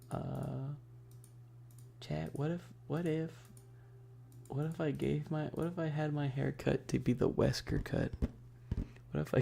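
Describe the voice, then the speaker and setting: A man's voice: medium pitch, gravelly, warm tone, slight background noise. A man chatting casually as a livestream host, bantering with viewers in a slangy, joking way. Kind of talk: casual livestream chat, ASMR streamer.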